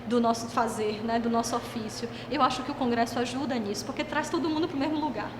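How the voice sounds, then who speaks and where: A woman from Salvador, Brazil, giving a fluent, animated interview answer. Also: a little high, fast talker, reverberant, long reverb tail, background noise. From Salvador, Bahia, Brazil.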